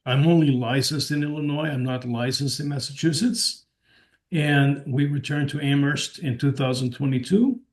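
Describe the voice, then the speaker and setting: A man from Portugal, a native Portuguese speaker, giving a measured, courteous self-introduction on a video call. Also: on the high side. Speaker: board applicant. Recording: echoey room.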